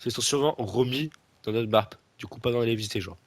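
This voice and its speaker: A man's voice: mid-range voice, fast, very noisy. A young man with a stuffy nose from a cold, chatting casually and teasing his friend while playing a video game, rambling with lots of fillers and restarts. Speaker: gaming video creator. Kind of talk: casual gameplay chat with a friend.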